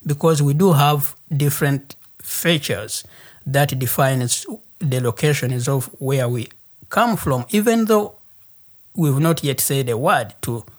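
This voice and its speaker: Male interview guest, Ugandan accent, unhurried and halting, medium-pitched storyteller. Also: lively intonation. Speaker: interview guest, human rights activist.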